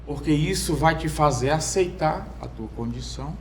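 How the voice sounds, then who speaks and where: A young Brazilian man speaking as a podcast guest, telling his own story in a halting way, with many fillers and restarts. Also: a little high, a little hiss or hum. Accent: Brazilian Portuguese. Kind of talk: personal testimony in conversation. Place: podcast studio.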